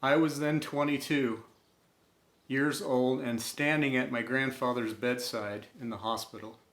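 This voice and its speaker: A man narrating a scripted personal story in an inspirational style, with a measured delivery and deliberate pauses. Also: medium pitch, fairly even intonation, fairly bright tone. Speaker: storyteller and host. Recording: roomy, echoing room sound.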